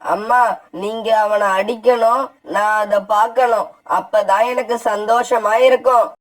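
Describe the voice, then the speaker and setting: A woman's voice: fairly high pitch, fairly even intonation, a little slow, natural voice, rough recording. A woman playing a bossy, spiteful little boy bird in a children's cartoon story, with a childish, petulant manner. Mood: whining, spiteful.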